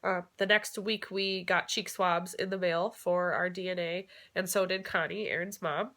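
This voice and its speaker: Stuffy-nosed woman chatting brightly. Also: lowish voice, steady tone, normal speed. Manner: chatty, many fillers, occasional laughs. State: stuffed up from a cold. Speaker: vlogger. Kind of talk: casual vlog explanation.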